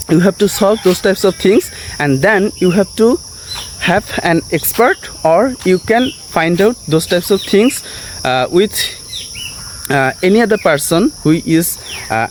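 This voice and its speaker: A man with a Bengali accent, a native Bengali speaker, giving an earnest motivational English lesson, often pausing with uh and okay. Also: high voice, a little hiss or hum. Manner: earnest, repetitive, frequent uh and okay fillers.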